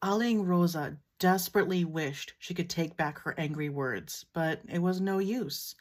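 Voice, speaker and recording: A Filipino American woman who tells a folktale in a relaxed, matter-of-fact way. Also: lowish voice, moderate pace. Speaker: storyteller. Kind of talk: casual storytelling.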